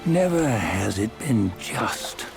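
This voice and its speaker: A male actor playing a scornful, moralizing mystic storyteller in a video game, speaking in an archaic, deliberate, mocking way. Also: lowish voice, sing-song, gravelly, full character voice. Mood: sly, musing. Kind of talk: video game cutscene acting. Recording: background music under the voice.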